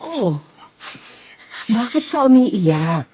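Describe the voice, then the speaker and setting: A woman's voice: on the high side, big pitch swings, extremely slow, mellow, lo-fi sound, slight background noise, full character voice. An actress playing an affectionate middle-aged adoptive mother in a Filipino radio drama, coaxing and mixing in English phrases. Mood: tender.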